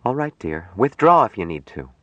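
A gentle, caring young woman as a male narrator puts her on, anxious and questioning, in an audiobook novel. The voice is lifted above his usual talk and moves freely in pitch, on a slightly dull recording. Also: big pitch swings, moderate pace, dry recording. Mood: concerned, soothing. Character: worried, affectionate, puzzled young woman, the lover.

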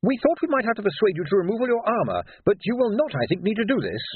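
A man's voice: high-pitched, sing-song, fast, husky, narrow, tinny audio, pitched above the performer's own voice, exaggerated voice. A man voicing a fussy, pedantic monk technician who speaks precisely and is easily flustered, in an audiobook. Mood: earnest, fussy. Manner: precise, stammering, over-explaining.

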